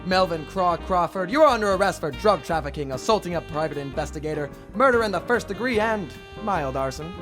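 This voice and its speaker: An actor playing a cocky private investigator hero in an old-time radio drama, with wry, deadpan, heroic delivery. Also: male voice, high voice, a little fast, hardly any pauses, over music. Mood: smug, commanding.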